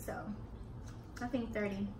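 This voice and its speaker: A woman, co-hosting a casual Q&A and talking in a slow, drawn-out, giggly way. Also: medium pitch, fairly even intonation, a little mellow, recorded from a distance. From Houston, Texas, US. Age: 20s. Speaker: YouTube co-host. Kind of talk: casual chat during a mukbang Q&A. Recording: echoey room, a bit off-mic, faint noise.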